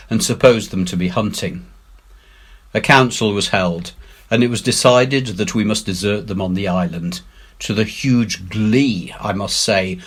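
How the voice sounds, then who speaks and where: Male storybook narrator, fairly deep and husky, expressive, measured delivery with emphatic phrasing. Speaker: head teacher reading aloud.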